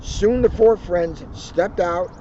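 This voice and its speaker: A man reading a children's storybook aloud in an animated way, with casual asides. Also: high-pitched, takes it slowly. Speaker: police officer reading a children's book aloud. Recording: noisy, muffled recording.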